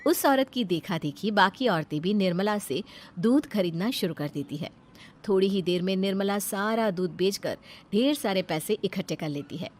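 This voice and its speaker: A woman's voice: mid-range voice, expressive, moderate pace, a little mellow, a little hiss or hum. A woman reading scripted narration for a cartoon family story as its storyteller.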